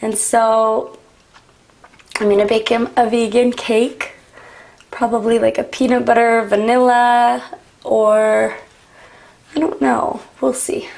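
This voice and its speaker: Raspy-voiced young woman chatting casually. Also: a little high, flat delivery, slow, pauses often, a little mellow. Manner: rambling, frequent "like" and "um". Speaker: beauty vlogger. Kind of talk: chatty get-ready-with-me talk. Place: home, doing makeup.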